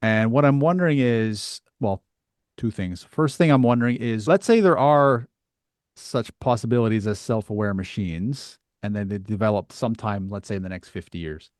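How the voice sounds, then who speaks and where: A man with a lowish voice hosts a conversational interview. He phrases things tentatively and thoughtfully, feeling his way through each point. The sound is dry, with very little room to it. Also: moderate pace, no echo. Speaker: podcast host.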